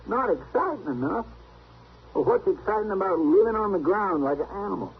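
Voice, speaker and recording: An actor playing a fretful, affectionate older brother in a radio western, with a stammering, folksy rural American drawl. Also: male voice, high voice, huge pitch swings, a little fast, vocal fry, husky, exaggerated voice. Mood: protesting, flustered. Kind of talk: old-time radio western acting. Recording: tinny, hissy old radio recording.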